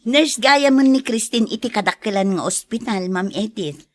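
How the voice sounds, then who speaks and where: A woman's voice: fairly high pitch, lively intonation, normal speed. A woman playing a talkative, sympathetic friend in an audio drama. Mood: chatty, pleased.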